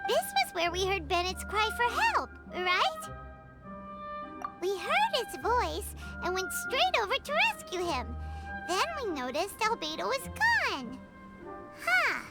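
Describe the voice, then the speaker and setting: A tiny fairy sidekick in a video game, extremely high and squeaky. The delivery swoops wildly, excitable and blunt like a chatty child, with prominent music behind it. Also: female voice, slow, heavily acted. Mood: puzzled. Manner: exclaiming, refers to self in third person. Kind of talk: video game character acting.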